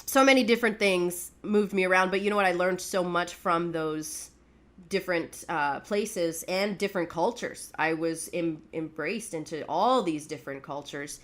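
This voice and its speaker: Chatty woman with a low voice. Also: moderate pace, a bit bright. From Canada. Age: young adult. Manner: candid and chatty, laughing at herself. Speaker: podcast co-host. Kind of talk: casual personal storytelling.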